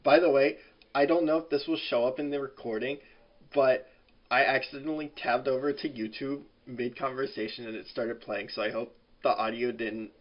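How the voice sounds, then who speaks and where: A man's voice: medium pitch, steady tone, moderate pace. A man co-hosting a podcast with casual, slangy banter. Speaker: podcast co-host. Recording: dull, lo-fi call audio.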